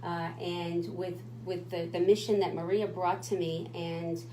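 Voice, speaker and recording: A woman's voice: fairly low pitch, level tone, unhurried, mellow, roomy sound, a little hiss or hum, poor audio. A woman co-hosting a radio talk show, speaking in an emphatic, motivational way. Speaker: radio co-host.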